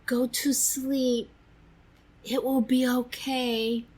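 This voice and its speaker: A little male fox from a children's storybook , voiced by a woman. He sounds young and somewhat high, anxious yet caring, with a gentle, reassuring way of speaking. Soft music sits behind the voice. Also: little pitch movement, drawn-out words, heavily acted. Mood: sleepy soothing.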